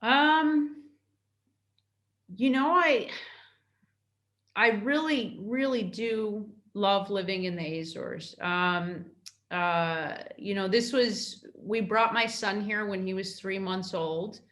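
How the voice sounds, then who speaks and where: A middle-aged woman from New York, a consul answering interview questions in a halting way, with many fillers and restarts. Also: mid-range voice, steady tone, a bit bright, echoey room. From New York, United States.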